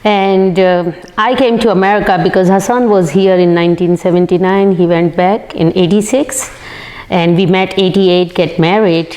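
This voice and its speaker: A woman in her fifties with a Pakistani accent, answering interview questions in halting, non-native English. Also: lowish voice, steady tone, darkish tone. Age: 50s. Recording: reverberant hall-like room.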